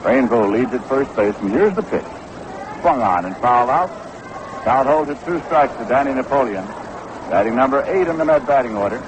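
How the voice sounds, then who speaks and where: A man's voice: medium pitch, lively intonation, normal speed. Noisy vintage radio baseball announcer, American man. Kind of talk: 1960s radio sports commentary.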